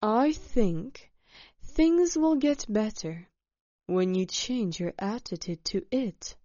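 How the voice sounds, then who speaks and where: A woman storyteller voicing a calm, good-humored man who teases with gentle irony. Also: mid-range voice, takes it slowly, no echo, dull audio. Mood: soothing, firm. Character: calm, teasing, gently ironic man among hikers.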